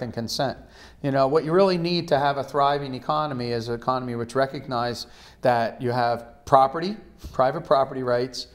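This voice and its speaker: A middle-aged man, a guest economist, talking animatedly in a TV studio interview, gesturing as he tells stories. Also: medium pitch. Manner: animated, gesturing storyteller with restarts. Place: TV studio set, seated in armchair.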